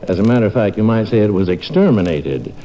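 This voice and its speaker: A man's voice: fairly low pitch, very animated, fast talker, dark tone, faint music behind. A man playing a wry, middle-aged small-town doctor and father in old-time radio sitcom style, with dry understatement. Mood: wry narrating. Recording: muffled old radio broadcast.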